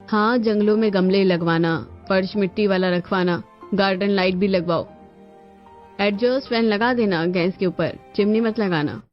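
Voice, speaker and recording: A woman plays a bossy middle-aged mother in a cartoon family drama. She speaks firmly at a middle pitch, in slightly dull audio with faint music. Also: moderate pace, close to an everyday voice. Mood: instructing.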